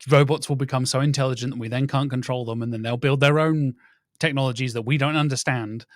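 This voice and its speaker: A man hosting a podcast, talking in a casual, animated and rambling way and acting out little quoted exchanges. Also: mid-range voice, fast, no room sound, clean studio sound. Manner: animated, rambling, quotes others in mock voices. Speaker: podcast host.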